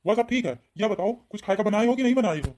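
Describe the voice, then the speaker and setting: A man's voice: high voice, lively intonation, quick pace, darkish tone, slight background noise. A man voicing a whiny, put-upon, hungry village husband in a comic cartoon, with an Awadhi Hindi accent. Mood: questioning, appeasing. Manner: grumbling, complaining.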